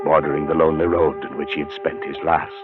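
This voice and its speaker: An actor playing a frightened scholar in a 1940s radio suspense drama, narrating his terror in a dramatic, deliberate way that grows halting with nerves. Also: rumbling low, level tone. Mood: mounting terror. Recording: muffled 1940s radio broadcast with music.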